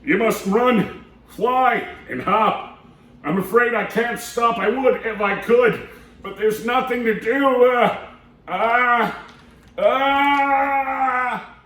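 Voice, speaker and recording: A man's voice: extremely high pitch, drawn-out words, a bit bright, voice pushed up, full character voice. A man voicing a big, jumpy male elephant who is easily frightened and stammers as he talks. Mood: pleading. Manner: fretful, stammering. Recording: echoey room from a distance.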